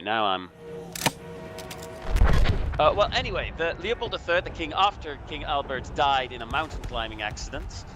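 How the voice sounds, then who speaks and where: A Belgian man giving casual, halting history commentary over a video game, explaining things hesitantly. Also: medium pitch. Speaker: guest commentator.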